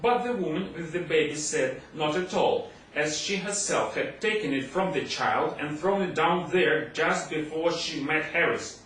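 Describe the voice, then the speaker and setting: A man's voice: a little high, measured pace, hardly any pauses, fairly bright tone. A man reading a humorous classic story aloud as scripted audiobook narration. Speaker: audiobook reader. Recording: very echoey room, distant mic, faint noise.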